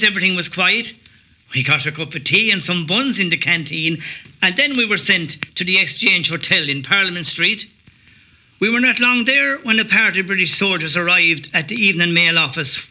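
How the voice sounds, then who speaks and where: A man's voice: high-pitched, bright, forceful tone, full character voice. An actor playing a young rebel volunteer, narrating his account of combat in an audio play. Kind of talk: dramatised first-person witness narration.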